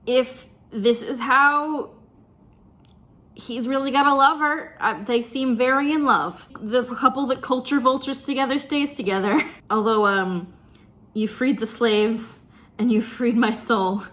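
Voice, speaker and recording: A woman's voice: a little high, steady tone, measured pace, fairly bright tone. Wry woman giving commentary. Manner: wry, amused, sarcastic. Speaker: reaction video host. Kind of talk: casual reaction commentary.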